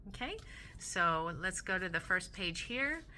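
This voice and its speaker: A woman hosting a casual crafting vlog, chatty and rambling with many fillers, a little hoarse from losing her voice. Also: on the low side, very animated, unhurried, slight background noise. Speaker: crafting channel host. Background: soft Christmas music.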